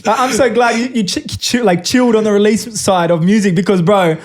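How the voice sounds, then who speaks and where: High-voiced man, bro-heavy banter. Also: steady tone, brisk. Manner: friendly, bro-heavy banter, laughs often. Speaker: interview host. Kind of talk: casual interview chat.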